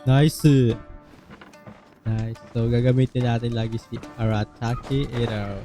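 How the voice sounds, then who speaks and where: A man's voice: medium pitch, fairly even intonation, measured pace, mellow. A man giving casual let's-play commentary, muttering to himself. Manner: mumbly, talks to himself, mixes in Tagalog. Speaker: game streamer. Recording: voice over game music. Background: music.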